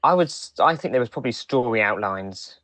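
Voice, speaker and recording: A man co-hosting a podcast in casual discussion, speaking in a halting way with frequent restarts. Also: mid-range voice, expressive, a bit bright, dry recording. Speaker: podcast co-host.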